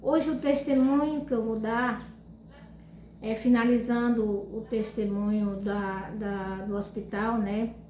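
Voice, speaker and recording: An older woman gives a heartfelt religious testimony, slow and repetitive. Her voice sits slightly high. The room is echoey, with a faint hum of ambience behind her. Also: fairly even intonation, a little mellow. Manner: speaks slowly with pauses and repetitions, fervent. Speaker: lay preacher giving testimony. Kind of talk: informal religious testimony and Bible reading.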